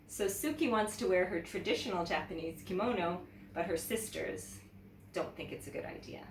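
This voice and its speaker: A woman teacher reading a children's story aloud in an expressive, animated way, talking directly to young viewers. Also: on the low side, moderate pace. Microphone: camera mic at some distance. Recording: roomy, distant mic with background noise.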